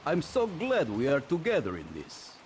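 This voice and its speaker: An actor playing a smug, gloating crime boss villain in a video game, grandiose and mock-affable. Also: male voice, fairly high pitch, wildly swooping pitch, moderate pace. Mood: smugly affable. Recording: clearly noisy game scene.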